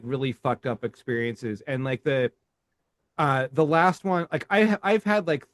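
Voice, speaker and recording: A man co-hosting a podcast, telling a story in casual chat, laughing often and talking haltingly. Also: mid-range voice, dry recording, studio quality. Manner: laughs while telling stories, halting and profane. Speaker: podcast co-host.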